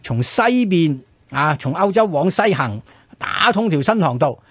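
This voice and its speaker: A man's voice: fairly high pitch, very animated, measured pace, fairly bright tone, husky, dry recording. A man with a Hong Kong Cantonese accent co-hosting a radio history show, explaining conversationally and often checking in with rhetorical questions.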